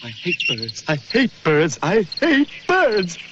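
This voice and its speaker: A man's voice: high voice, wildly swooping pitch, takes it slowly, heavily acted. A man playing a lovesick, bumbling doctor who grumbles and stammers in a 1960s cartoon. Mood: bitter grumbling. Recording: dull noisy worn VHS transfer.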